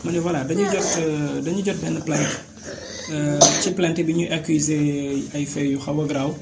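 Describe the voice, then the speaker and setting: Flat, gravelly man asking questions. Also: a little high, moderate pace, heavy background noise. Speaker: interviewer. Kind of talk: field interview questions.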